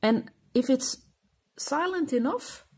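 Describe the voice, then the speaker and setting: Flemish lady chatting slowly to her camera, lots of ums and restarts, english not her first language. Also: high-pitched, expressive, a little mellow, husky. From Belgium. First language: Dutch (Flemish). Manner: hesitant, many fillers and restarts, searching for words. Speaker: coloring channel host. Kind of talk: casual chat to camera.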